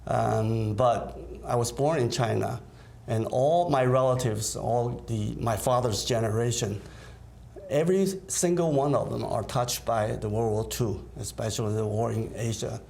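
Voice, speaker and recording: Hesitant man with mid pitch. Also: measured pace, gravelly, a little mellow. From Taiwan. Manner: hesitant, many fillers and restarts. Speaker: concert organizer. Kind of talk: interview answers.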